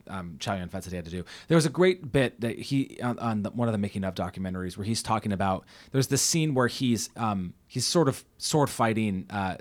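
A man co-hosting a podcast, talking about a film in casual chat with a halting, enthusiastic manner and many restarts. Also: lowish voice. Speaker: podcast co-host.

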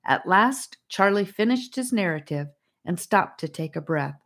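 A woman's voice: mid-range voice, lively intonation, unhurried, fairly bright tone. A woman reading a children's fantasy adventure as an audiobook narrator.

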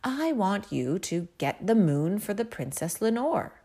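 A woman voicing an impatient, hot-tempered old king in a storybook reading, commanding and lively. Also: medium pitch, a little slow, husky, voice pushed up, exaggerated voice. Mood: demanding. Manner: impatient and commanding, turning mournful.

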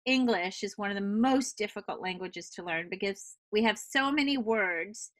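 A middle-aged American woman giving conversational advice in an articulate, explanatory, measured way. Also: medium pitch, a bit bright. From United States.

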